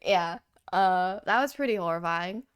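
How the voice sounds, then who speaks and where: A woman's voice: on the low side, expressive, measured pace, smooth, dull audio. A young woman co-hosting a podcast, talking candidly and casually with lots of "like" and laughter. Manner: candid, laughing often, filler "like". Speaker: podcast co-host. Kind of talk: casual chat.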